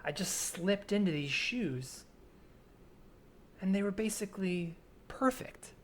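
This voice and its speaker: A man's voice: high voice, expressive. Earnest, obsessive young man over ambient noise, improvised by an actor. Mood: intense, searching. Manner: intense, halting, pleading. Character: earnest obsessive 27-year-old man haunted by flip-flops. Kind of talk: improvised comedy scene with naturalistic dialogue. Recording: remote recording with added ambient soundscape noise.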